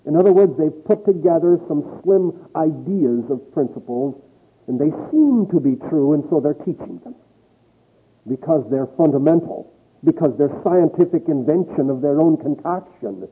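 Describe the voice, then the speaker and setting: A man's voice: on the high side, animated, moderate pace, husky. A man preaching a sermon with emphatic, rising and falling delivery, reading scripture aloud. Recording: tinny phone-like old recording.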